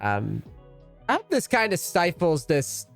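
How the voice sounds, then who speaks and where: A man's voice: a little high, wildly swooping pitch, a little slow, gravelly, a bit bright, soft background music. A man in his thirties giving casual, rambling commentary as a gaming coach, full of slang and swearing. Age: 30s. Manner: rambling and profane, loose slang. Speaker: League of Legends coach and streamer.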